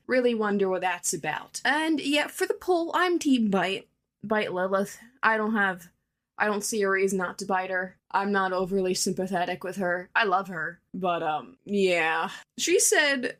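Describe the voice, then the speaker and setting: A woman recording a casual voice message as a listener, talking through opinions and theories. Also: medium pitch.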